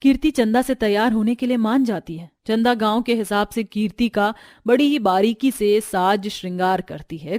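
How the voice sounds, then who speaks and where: A woman's voice: medium pitch, darkish tone, no room sound, studio quality. A woman narrating a scripted family story as a storyteller.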